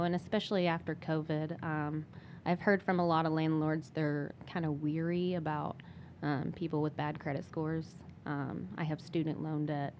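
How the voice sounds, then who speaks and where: Soft-spoken young mom, hesitant. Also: female voice, low voice, dull audio, a little hiss or hum. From Michigan. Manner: soft-spoken with many fillers and restarts. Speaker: guest. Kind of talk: casual interview talk.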